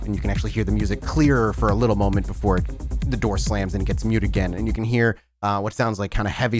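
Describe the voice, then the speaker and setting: A man acting as game master, improvising narration and putting questions to players in a tabletop roleplaying game. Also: on the low side.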